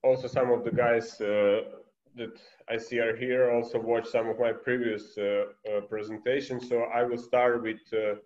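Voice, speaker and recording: A man's voice: mid-range voice, little pitch movement, normal speed, darkish tone. A man in his thirties with a Serbian accent, a basketball coach speaking conversationally as a guest on a muffled, echoey video call. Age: 30s. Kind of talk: conversational online presentation. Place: bright room indoors by a window.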